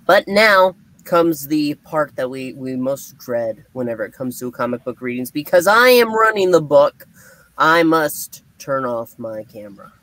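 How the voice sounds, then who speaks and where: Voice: mid-range voice, expressive, measured pace, a bit bright, a little hiss or hum, poor audio. A co-host presenting a live comic book reading in a showy, theatrical announcer style with sudden emphatic bursts. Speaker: co-host and reader of a comic book puppet show.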